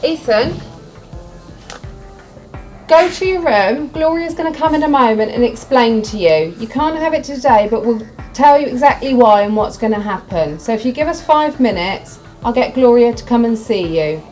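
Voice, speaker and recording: A woman acting as a ward nurse, firm but placating. Her voice is fairly high, with faint music behind. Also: animated, moderate pace, a little mellow, natural voice, low-quality recording.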